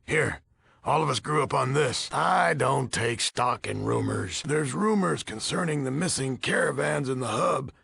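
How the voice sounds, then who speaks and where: Gravelly, gruff old American commander. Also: male voice, medium pitch, lively intonation, measured pace, fairly bright tone, no room sound, clean studio sound, heavily acted. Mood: earnest, firm. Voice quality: gravelly, raspy. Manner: folksy, blunt, grumbling. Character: gruff, weary old military order leader, blunt. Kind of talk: video game character dialogue.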